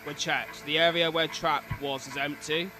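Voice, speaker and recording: British man, higher-pitched voice, noisy stream. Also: fairly even intonation, normal speed. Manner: informal, chatty, interjecting asides. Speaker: streamer reacting to horror videos. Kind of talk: live reaction commentary mixed with reading captions aloud.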